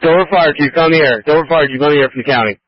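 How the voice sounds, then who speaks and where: A man's voice: fairly high pitch, racing. A man working as a county emergency dispatcher, speaking in a procedural, businesslike way over a two-way radio. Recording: muffled lo-fi emergency scanner radio.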